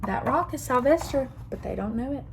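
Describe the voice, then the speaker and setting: A young woman teacher reading a picture book aloud to her class in a slow, expressive storytelling manner. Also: sits high, gravelly, noisy background.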